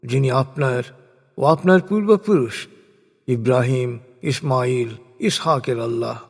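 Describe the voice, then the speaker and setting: A man giving a solemn, measured reading of a translated scripture, phrase by deliberate phrase. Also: medium pitch, a little mellow. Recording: long cavernous reverb.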